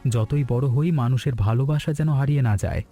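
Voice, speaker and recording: A man's voice: mid-range voice, fairly quick, dark tone, close to an everyday voice. A man playing an earnest, hopeful village hotel owner in a cartoon story, speaking expressively. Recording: background music throughout.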